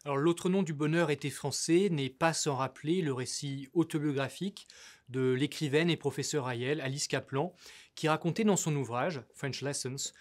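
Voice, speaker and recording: A middle-aged man reads out a long, carefully prepared interview question in a studio. His voice sits somewhat high for a man. He speaks formally and in a measured way.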